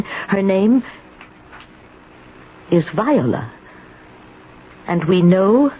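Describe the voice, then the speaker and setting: A timid older woman in an old radio play, hesitant and fretful. Her pitch rises and falls dramatically as she talks. The recording is dull, with some background noise. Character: timid, shaken retired spinster living alone in the country.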